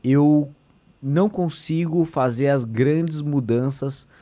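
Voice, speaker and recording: A man's voice: on the high side, slow, mellow. A man, a state deputy talking as a podcast guest, explaining in an earnest, conversational way with many fillers and restarts.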